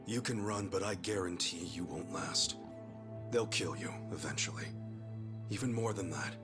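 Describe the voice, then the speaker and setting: A man's voice: deep voice, normal speed, lightly acted. An actor playing an easygoing, sincere, loyal man in a video game cutscene, with an American accent and informal, earnest delivery. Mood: grave, earnest. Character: easygoing, loyal, sincere adult man, a yakuza's forgiving pal. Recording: music behind the voice.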